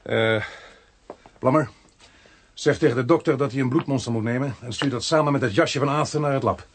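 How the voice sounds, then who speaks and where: An actor playing a blunt, sardonic, bossy police inspector who mutters asides in a Dutch radio crime drama. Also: male voice, mid-range voice, a little fast, natural voice. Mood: brisk, businesslike. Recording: noisy radio drama recording.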